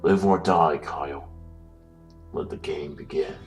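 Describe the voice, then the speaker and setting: A cold puppet mastermind with an extremely deep male voice, speaking slowly and deliberately. He sounds distant, ominous and formal, moralizing like a horror audio drama villain over music. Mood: grave, challenging. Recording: distant voice under loud music.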